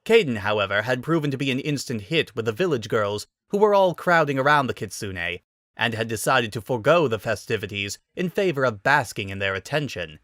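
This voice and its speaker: Male narrator, medium pitch, scripted dramatic storytelling, expressive, wry, dry recording. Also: fairly quick, dry recording.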